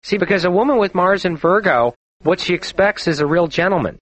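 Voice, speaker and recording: A man's voice: high-pitched, brisk, a bit bright, rough recording. A man hosting a call-in astrology radio show, talking confidently and chattily and laughing often.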